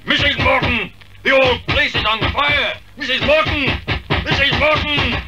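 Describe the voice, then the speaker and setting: A panicked young servant in an old radio play. His voice is pushed extremely high, urgent and frantic, on a vintage recording. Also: fairly even intonation, measured pace, poor audio. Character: frantic young male servant shouting an alarm. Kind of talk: 1950s radio drama acting.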